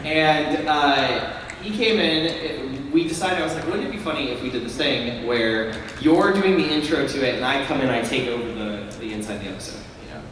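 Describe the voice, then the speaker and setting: A man's voice: medium pitch, a little fast. A man on a convention panel, telling rambling behind-the-scenes stories full of fillers, recorded from far off in an echoey, noisy hall. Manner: rambling, filler-heavy storytelling. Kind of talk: casual anecdote telling. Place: large convention panel room.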